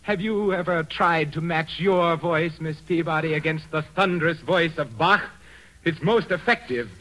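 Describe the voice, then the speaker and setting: A man's voice: a little high, moderate pace, fairly bright tone, close to an everyday voice, low-quality recording. A man playing a silky murderous organist. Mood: sinister calm. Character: murderous male organist, calm and sinister, adult. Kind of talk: 1940s radio acting.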